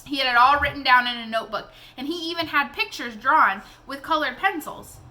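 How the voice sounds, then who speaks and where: A woman reading a children's novel aloud in an expressive storytelling style. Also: sits high, fairly quick, poor audio.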